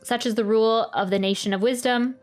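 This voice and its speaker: A woman with a fairly high-pitched voice plays through a game on stream. She shifts between reading text aloud and casual, wry commentary, with soft game music behind her. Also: steady tone, a little fast. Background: video game music.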